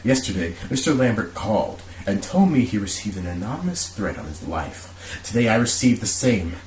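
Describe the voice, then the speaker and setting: Frightened businessman, voiced by a man in a radio play: anxious, lively pitch, echoey room. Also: moderate pace, distant mic. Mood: fearful. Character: frightened businessman fearing for his life. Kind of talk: amateur radio drama acting. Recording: roomy echo, faint hiss.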